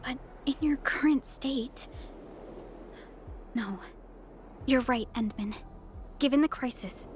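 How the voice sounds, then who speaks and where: A woman's voice: sits high, slight background noise. An actress voicing an earnest, thoughtful and dutiful woman protector in a video game, speaking seriously and formally.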